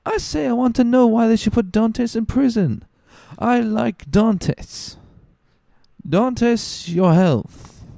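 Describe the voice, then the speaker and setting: A man voicing a drunken, loyal and stubborn tailor in slurred, drawn-out speech for a dramatic book reading. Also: extremely high pitch, creaky, dark tone, no room sound, pitched above the performer's own voice, heavily acted. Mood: defiant, tipsy.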